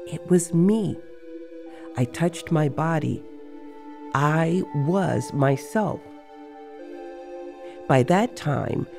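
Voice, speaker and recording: A narrator voicing an excitable male sorcerer who recounts a strange dream in a dramatic, earthy way. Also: mid-range voice, vocal fry. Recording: faint music behind.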